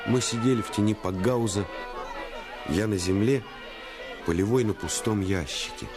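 An actor narrating a radio play in the first person as an earnest, intense storyteller recalling a boyhood adventure. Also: male voice, lowish voice, moderate pace, a crowd in the background, natural voice. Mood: reflective. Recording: radio play with music underneath.

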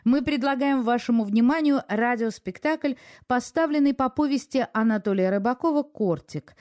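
A woman introduces a radio drama in a measured, formal way. Her voice sits a little high, with a soft, mellow tone, as she reads from a script. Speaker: radio presenter introducing a play.

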